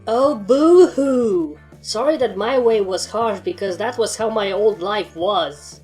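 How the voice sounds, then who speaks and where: Hard-bitten, sardonic storybook pony instructor, acted by a woman, blunt delivery, mid pitch, music behind. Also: slow, darkish tone, close to an everyday voice. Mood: sarcastic, defensive. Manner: dry, blunt, sarcastic. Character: blunt, sardonic, battle-hardened pony trainer.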